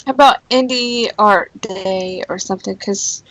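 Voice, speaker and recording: A woman's voice: mid-range voice, measured pace, a bit bright, low-quality recording. A woman co-hosting a live stream show, chatting casually with dry, teasing quips. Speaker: podcast co-host. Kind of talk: casual chat.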